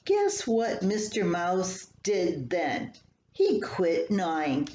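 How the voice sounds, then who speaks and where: An older woman reading her own humorous personal column aloud in a folksy, unhurried storytelling manner. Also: fairly low pitch, huge pitch swings, a little mellow. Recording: echoey room, slightly dull, faint hiss.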